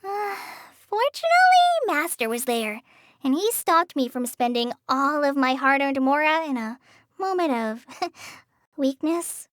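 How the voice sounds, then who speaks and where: A woman's voice: sits high, big pitch swings, dry recording, clean studio sound, full character voice. A woman voicing a shy, earnest teenage girl in a video game, hesitant and apologetic in the way she talks. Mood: bashful. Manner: hesitant, apologetic, soft-hearted, halting with stammers. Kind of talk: video game character voice lines.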